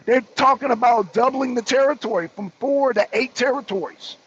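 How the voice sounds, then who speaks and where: A man's voice: extremely high pitch, normal speed, a little hiss or hum. A man hosting a political talk show, giving blunt, emphatic commentary and repeating phrases for effect. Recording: dull lo-fi audio.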